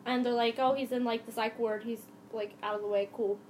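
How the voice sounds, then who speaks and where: A woman's voice: a little high, fairly even intonation, a little fast, a little mellow, a little hiss or hum. A young adult woman hosting a podcast, telling a true crime story in a casual, conversational way.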